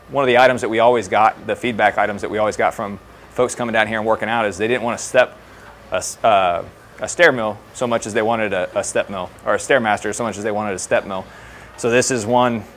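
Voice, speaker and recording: Low-voiced man giving a casual gym tour. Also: a bit bright. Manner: casual, colloquial, explanatory. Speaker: fire department fitness trainer giving a facility tour. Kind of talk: informal walk-through presentation to colleagues. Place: indoor gym with hard floors. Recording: clear background noise of a gym.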